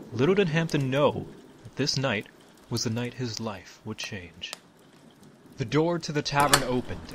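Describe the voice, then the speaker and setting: A man playing a genial, grandiose troupe leader, narrating a fantasy audio drama in a measured, showman-like way. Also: medium pitch, background noise, natural voice. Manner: measured, showman-like, formal phrasing. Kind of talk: dramatic fantasy storytelling to an audience. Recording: music under the voice. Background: background music.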